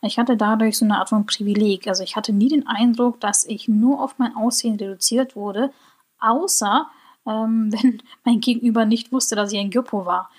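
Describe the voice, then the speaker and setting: A woman from Germany hosting a solo podcast, giving her opinions in a reflective way and slowing down on key points. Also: fairly high pitch, fairly even intonation, slightly rough. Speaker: podcast host.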